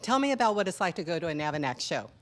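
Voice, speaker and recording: A woman's voice: fairly low pitch, sing-song, very fast, a bit bright. A woman hosting a casual interview on a studio stage, upbeat and chatty into a handheld mic. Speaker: host.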